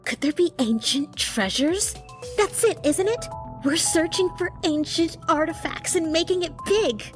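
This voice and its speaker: A woman's voice: very high voice, a little slow, over music. A woman playing a brash, restless young friend in an audio drama, slangy, blunt and playfully dramatic. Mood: excited.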